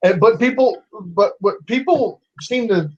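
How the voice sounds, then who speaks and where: A man's voice: high voice, a little slow, a little mellow, highs cut off. A man in his twenties debating online in a casual way, with many restarts and fillers as he argues. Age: 20s.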